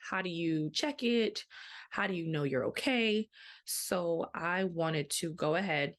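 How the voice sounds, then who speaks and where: A woman's voice: on the low side, lively intonation, measured pace. A woman in her thirties, a podcast host, talking candidly and casually about her own experience, acting out her thoughts. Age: 30s. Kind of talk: casual personal storytelling.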